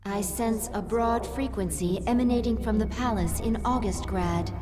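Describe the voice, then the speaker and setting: A woman playing a dutiful alien hive advisor in a video game, giving formal, measured reports to her queen. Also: medium pitch, close to an everyday voice. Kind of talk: video game cutscene acting. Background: music.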